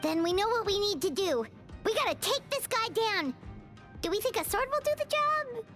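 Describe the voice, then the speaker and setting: A woman voicing a tiny, excitable, childlike fairy companion with a squeaky voice in a video game. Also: moderate pace, over music. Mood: determined. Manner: refers to self by name, exclamatory.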